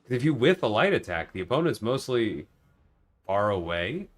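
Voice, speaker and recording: A man's voice: on the low side, very animated, normal speed, faint music behind. A man livestreaming a video game, chatting casually and thinking aloud as he reasons with viewers. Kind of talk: casual livestream gameplay chat.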